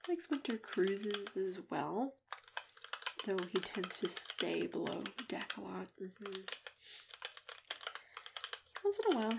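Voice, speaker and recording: Casting: female ASMR role-player, gentle and hushed, half whispered, mid-range pitch, unhurried delivery with murmured acknowledgements. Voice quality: soft, often dropping into a whisper. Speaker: ASMR performer role-playing a matchmaker. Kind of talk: soft-spoken ASMR role-play chat. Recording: clear background noise with keyboard typing. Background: typing and clicking.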